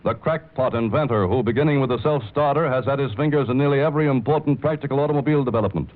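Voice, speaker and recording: Booming 1930s newsreel man. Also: rapid-fire. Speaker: newsreel announcer. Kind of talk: dramatic 1930s radio newsreel narration. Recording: tinny old 1930s radio broadcast with music.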